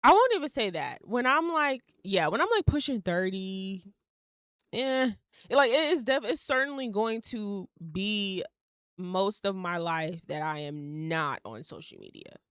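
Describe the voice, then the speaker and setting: A woman's voice: medium pitch, expressive, measured pace, a bit bright, clear, resonant tone, dry recording, clean studio sound. A young woman in her twenties hosting a solo podcast, rambling casually with many fillers, occasional swearing and laughs mid-sentence. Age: young adult. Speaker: podcast host.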